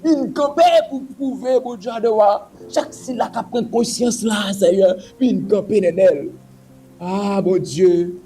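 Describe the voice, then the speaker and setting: High-voiced man praying fervently. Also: steady tone, unhurried, dark tone, faint music behind. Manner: repetitive, pleading, intoning invocations. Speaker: prayer leader. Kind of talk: fervent spoken prayer.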